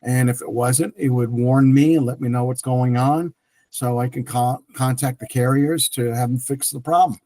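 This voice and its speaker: A man's voice: medium pitch, fairly even intonation, normal speed, warm tone, no room sound. A middle-aged man whose first language is French, telling his career story as an interview guest with many restarts and fillers. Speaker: guest, tech entrepreneur.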